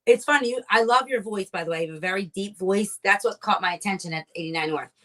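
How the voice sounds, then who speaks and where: A woman co-hosting a podcast in casual chat, bubbly and effusive, quick to praise her guest. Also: medium pitch, bright, forceful tone. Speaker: podcast co-host.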